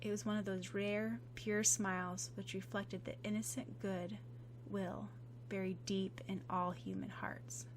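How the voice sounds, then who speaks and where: Woman reading a fanfic audiobook, soft and even, middle pitch. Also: unhurried, noisy background. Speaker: audiobook narrator. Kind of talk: reading fan fiction aloud.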